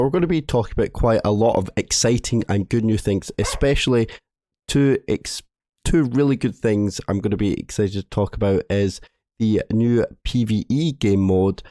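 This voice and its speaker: A man with a low, hoarse voice from a cold talks through a game update. His tone is dark and mellow and the sound is dry and close. He rambles casually and trips over and restarts his words. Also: no echo. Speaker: gaming video host.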